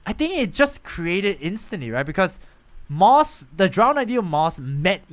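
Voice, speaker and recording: A man's voice: high-pitched, big pitch swings, measured pace, fairly bright tone. A man live streaming a video game, reading dialogue and reacting in a rambling way, often repeating himself.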